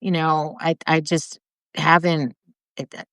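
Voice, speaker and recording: Soft, hesitant voice, dry sound. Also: mid-range voice, flat delivery, unhurried, dry recording. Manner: hesitant, reflective, soft-spoken with restarts. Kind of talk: casual conversation.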